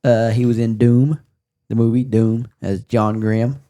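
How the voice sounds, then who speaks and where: A man's voice: medium pitch, flat delivery, measured pace, dark tone. A man talking casually about movies as a panelist on a podcast.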